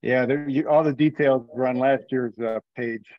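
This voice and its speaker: A man's voice: mid-range voice, fairly even intonation, moderate pace, no echo. A man talking casually in an online meeting, hedging and trailing off between thoughts. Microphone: online conference call. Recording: dry, dull band-limited call audio.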